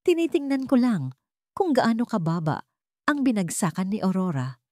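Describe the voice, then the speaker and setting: A woman's voice: medium pitch, very animated, moderate pace, darkish tone, no echo, studio quality, voice pushed up, close to an everyday voice. A woman voicing an arrogant wealthy man who sneers and threatens. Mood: menacing.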